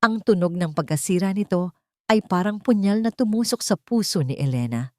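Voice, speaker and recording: A woman's voice: medium pitch, expressive, normal speed, no room sound, studio quality. A woman storyteller reading a scripted, dramatic story narration.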